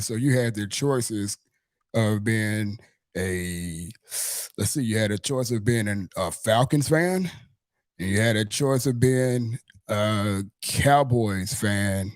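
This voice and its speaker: Low-voiced laid-back man. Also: measured pace, darkish tone, no echo, clean studio sound. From Mississippi, United States. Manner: laid-back, slangy, repeats and restates. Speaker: host of a sports fan show. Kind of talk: casual interview chat.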